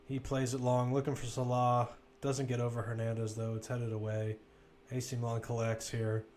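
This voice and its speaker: A man's voice: mid-range voice, completely flat delivery, moderate pace, a little mellow, slight background noise. A man giving casual, conversational live play-by-play commentary on a football match, with wry asides.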